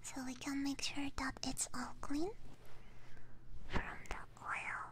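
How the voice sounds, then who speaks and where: Female ASMR streamer: breathy, gentle whisper, unhurried delivery, right up close on an ear mic. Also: high voice, level tone. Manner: soft, gentle personal attention. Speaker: livestream host. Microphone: close binaural ear microphone.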